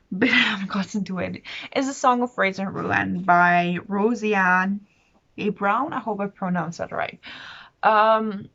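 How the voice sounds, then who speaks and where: A woman's voice: mid-range voice, a bit bright, muffled recording. A woman talking casually as a book vlogger, in an enthusiastic, rambling and self-deprecating way. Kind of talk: casual chatty monologue to camera.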